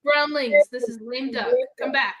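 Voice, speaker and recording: A determined wartime pilot caught in a storm, read by a woman. The voice sits high and the delivery stays loose and jokey, like a table read on a stream. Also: normal speed, a bit bright. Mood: shouting to base. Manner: casual table-read delivery, joking asides.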